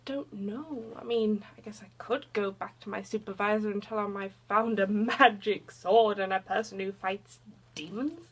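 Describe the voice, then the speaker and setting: A woman's voice: medium pitch, moderate pace, slightly rough, close to an everyday voice. A woman acting a curious young PhD student in an audio story, hesitant, rambling and apologetic. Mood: awkward.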